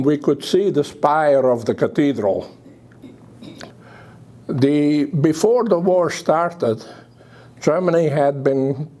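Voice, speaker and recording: An older man with a Belgian accent giving a public talk about his memories, speaking haltingly with pauses. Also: a little high, darkish tone. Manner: halting, hesitant delivery with pauses. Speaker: guest speaker.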